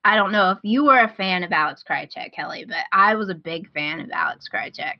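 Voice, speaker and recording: Woman, medium pitch, informal podcast host, enthusiastic, close dry sound, slightly lo-fi. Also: fairly quick, no echo. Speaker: podcast host giving recommendations. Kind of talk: casual podcast chat.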